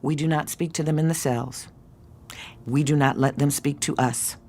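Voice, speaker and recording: An actress playing a hard, bitter middle-aged American aunt who works as a supervisor, scolding and commanding in a naturalistic radio play. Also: female voice, low voice, steady tone, normal speed, noisy background. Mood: stern lecturing. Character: hard, bitter older aunt, jaded detention-center supervisor.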